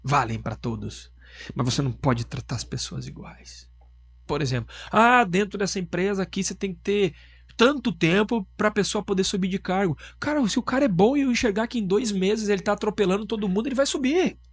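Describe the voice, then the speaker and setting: A man's voice: high-pitched, animated, a little fast, breathy edge. A man with a Southern Brazilian accent, a business owner talking on a podcast, emphatic and full of rhetorical questions. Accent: Southern Brazilian Portuguese (Rio Grande do Sul). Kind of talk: casual podcast conversation.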